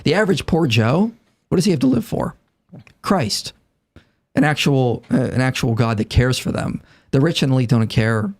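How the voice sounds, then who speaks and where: Man in his thirties, podcast guest, earnest and argumentative, mid-pitched voice. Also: expressive, normal speed. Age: 30s. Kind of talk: casual conversation.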